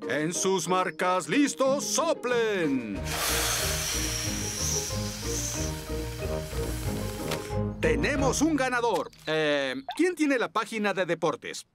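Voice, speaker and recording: Playful easygoing daddy pig for a kids cartoon, really animated, music behind. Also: male voice, sits high, heavily acted. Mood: playful, excited. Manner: expressive, playful, drawn-out musing. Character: jolly middle-aged father pig, playful and easygoing. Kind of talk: children's cartoon dubbing. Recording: music under the voice.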